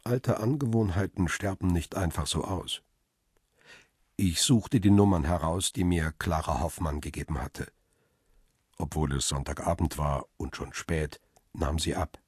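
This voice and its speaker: An actor narrating in the first person as a reflective, uneasy photographer in a quiet, introspective way in a radio drama. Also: male voice, deep voice, a little slow, breathy edge, no room sound, clean studio sound, heavily acted. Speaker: narrator and protagonist.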